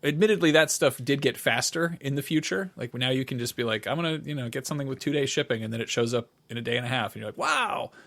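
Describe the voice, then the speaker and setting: Male podcast co-host, middle-aged, average pitch, wry and conversational, unscripted. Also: fast, creaky, few pauses, fairly bright tone. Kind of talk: casual conversational podcast chat.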